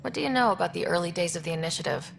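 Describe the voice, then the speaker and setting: An actress playing a young, inquisitive space explorer in a video game, asking things in a direct, businesslike way. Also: female voice, lowish voice, expressive, very fast, creaky, a little hiss or hum. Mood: curious. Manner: direct, plain questioning. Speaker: player's explorer character.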